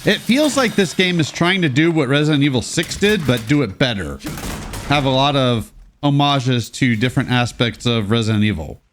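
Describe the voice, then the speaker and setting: Congested, lively young man commentating. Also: medium pitch, normal speed, a little hiss or hum. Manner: casual, sarcastic asides. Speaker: let's play host. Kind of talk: gameplay commentary.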